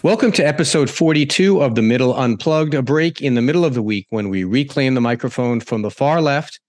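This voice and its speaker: A man's voice: medium pitch, lively intonation, brisk, a little mellow, no echo, faint music behind. A middle-aged man hosting a talk podcast, chatty and rambling, often breaking off and restarting mid-sentence. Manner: rambling, self-interrupting, chatty. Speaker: podcast and radio host. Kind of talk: conversational hosting.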